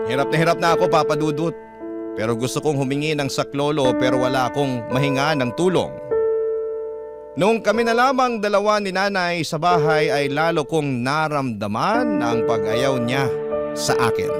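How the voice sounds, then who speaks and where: A man narrating a first-person story as a radio host, in an earnest, sorrowful dramatic style. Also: mid-range voice. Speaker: storyteller host reading a listener's letter.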